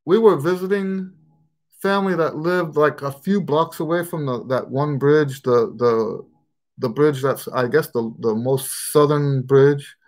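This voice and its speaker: A man's voice: fairly high pitch, measured pace. A middle-aged man, a trumpet teacher, answering questions in a casual live stream from his living room on a webcam, rambling and restarting his sentences. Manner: rambling, frequent restarts and stammered repeats. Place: living room at home, indoors. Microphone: webcam at desk distance.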